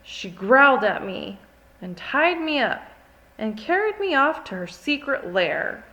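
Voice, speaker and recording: Woman as an indignant, animated little forest creature. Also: high-pitched, measured pace, muffled recording, exaggerated voice. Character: indignant, haughty small furry wild forest creature.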